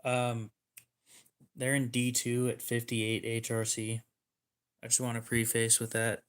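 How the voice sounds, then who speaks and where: Guy hosting a livestream, mid pitched, jokey and sarcastic, swears a bit. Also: completely flat delivery, a little slow, clean tone. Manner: joking, sarcastic, swears casually. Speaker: livestream host. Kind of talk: casual livestream chat.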